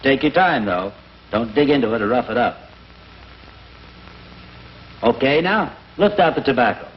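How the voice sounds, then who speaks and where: An easygoing American man acted by a man gives casual, encouraging step-by-step instructions in a 1950s commercial skit. His pitch is lively, and the old broadcast audio is dull. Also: normal speed, lightly acted.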